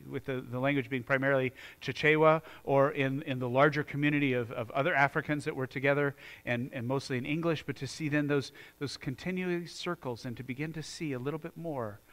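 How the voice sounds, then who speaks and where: A man's voice: mid-range voice, fairly bright tone. A man from the United States preaching a sermon in a church, earnest and conversational, often restarting his sentences. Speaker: pastor.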